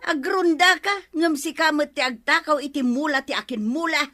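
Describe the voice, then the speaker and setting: Shrill, nagging wife. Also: female voice, moderate pace, pushed and bright. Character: sharp-tongued nagging village wife. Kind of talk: Ilocano comedy radio drama acting.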